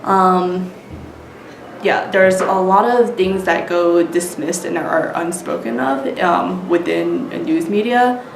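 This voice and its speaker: A woman's voice: lowish voice, takes it slowly, vocal fry. A woman with an American accent answering interview questions casually, peppering her speech with "like" and "you know". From United States. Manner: frequent "like" and "you know", informal. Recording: noisy, echoey room.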